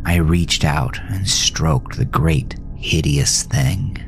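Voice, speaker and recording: A man narrating a scripted first-person horror story in a brooding, deliberate, dramatic manner. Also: rumbling low, creaky. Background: music.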